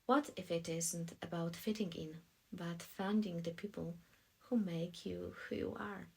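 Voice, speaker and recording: A woman from Poland reading scripted audiobook narration as a voice actress, in a soft, deliberate storytelling manner. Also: on the low side, steady tone, vocal fry, slightly rough.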